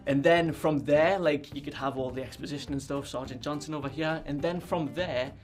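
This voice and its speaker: An excitable man riffs with friends in a loose, rambling way, leaning on fillers as he goes. His voice sits a little higher than most men's. Faint music sits in the background. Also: a little fast. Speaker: podcast panelist. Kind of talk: casual group chat.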